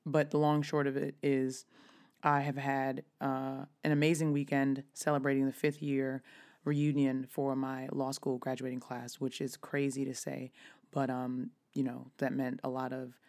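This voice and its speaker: A woman in her thirties hosting her own podcast, her voice raspy and hoarse, talking casually with frequent restarts. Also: a bass voice, level tone, measured pace, a little mellow. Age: 30s. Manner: chatty, with frequent restarts and fillers. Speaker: podcast host. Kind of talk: casual solo podcast talk.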